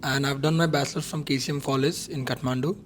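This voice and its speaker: A man's voice: mid-range voice, fairly even intonation, a little hiss or hum. A young man from Nepal in his twenties, answering as a student guest and slipping Hindi phrases into his English. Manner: quick, mixes in Hindi phrases.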